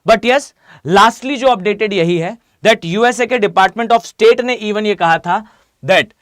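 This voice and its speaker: A hoarse man with an Indian accent teaching a live online class, often asking students to reply. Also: high voice, takes it slowly, fairly bright tone, dry recording, studio quality. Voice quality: mixes Hindi with English phrases. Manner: addresses students directly, asks them to reply.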